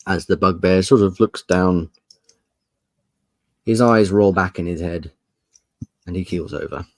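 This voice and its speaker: A man running a tabletop roleplaying game, narrating scenes in a measured, descriptive and polite way. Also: deep voice, dry recording. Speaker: game master.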